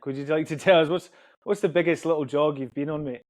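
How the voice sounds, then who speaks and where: A man's voice: on the high side, steady tone, fast, no room sound. A man hosting a casual podcast chat, jokey and chatty with plenty of fillers and restarts. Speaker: podcast host.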